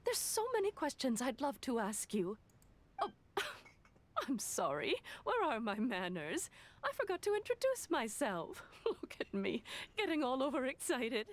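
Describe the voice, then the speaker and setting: A woman's voice: high-pitched, big pitch swings, a little slow. A woman playing an eager, polite businesswoman in a video game, deferential and effusive in manner. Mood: flustered, admiring. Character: eager, polite businesswoman, easily overexcited admirer.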